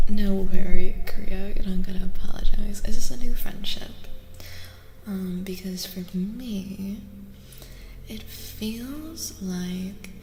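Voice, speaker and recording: A woman streams a gentle, soft-spoken tarot reading in a casual ASMR style. Her unhurried voice often sinks into a whisper and carries some creak. Long reverb surrounds her, and music plays clearly behind. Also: medium pitch, slight background noise.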